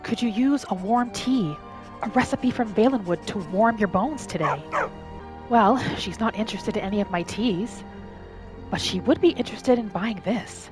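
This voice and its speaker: A woman narrating her game roleplay in character, with a gentle, musing manner as if talking to herself and her pets. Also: mid-range voice, normal speed. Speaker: roleplay gaming host. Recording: music behind the voice. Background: background music.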